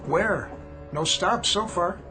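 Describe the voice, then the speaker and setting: A man's voice: high-pitched, slow pace, music in the background. An actor playing a folksy, easygoing male train conductor in a radio drama, talking in short, laconic phrases. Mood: polite, puzzled.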